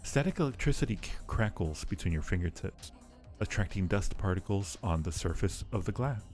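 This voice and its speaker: Male game streamer, deep mellow voice, thinks out loud while reading on-screen text, music underneath. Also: lively intonation, brisk. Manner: musing aloud, repeats phrases to himself. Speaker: live streamer. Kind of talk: live game commentary mixed with reading game text aloud. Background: background music.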